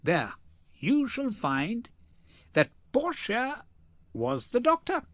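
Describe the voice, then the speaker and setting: An older man acts a witty young noblewoman from a Shakespeare comedy. She speaks slowly and with poise, her pitch swinging expressively, in a close, dry recording. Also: huge pitch swings, dry recording, full character voice. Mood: poised, authoritative. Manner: measured, poised, theatrical. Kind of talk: dramatic Shakespeare reading.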